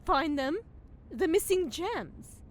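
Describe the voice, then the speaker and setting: Very high, prim scolding fairy. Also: female voice, lively intonation, slow pace, full character voice. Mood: disapproving. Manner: theatrical, disapproving, precise. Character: prim, scolding, knowing female forest fairy. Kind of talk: fantasy comedy audio drama acting.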